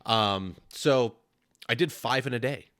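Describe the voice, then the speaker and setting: A man's voice: medium pitch, slow pace, a bit bright, no echo, studio quality. A man hosting a podcast, a flooring contractor, talking candidly about his workload in long run-on stretches. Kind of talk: casual podcast conversation.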